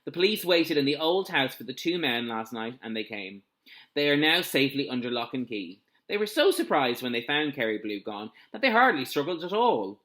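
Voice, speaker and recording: Man reading as a calm storybook father. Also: mid-range voice, brisk. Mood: matter-of-fact.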